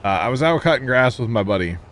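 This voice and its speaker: A man's voice: medium pitch, lively intonation, fast talker, fairly bright tone, a little hiss or hum. A man chatting casually with his stream viewers while he plays, telling rambling jokey stories and chuckling often. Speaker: livestream gamer. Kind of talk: casual livestream chat with viewers.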